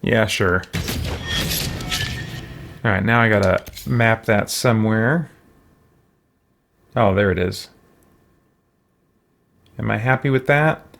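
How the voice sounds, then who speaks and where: A man's voice: on the low side, slow, gravelly, pauses often, a bit bright, slight background noise. A man giving casual game commentary, thinking aloud to himself in a musing, tentative way. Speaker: gamer hosting a let's play.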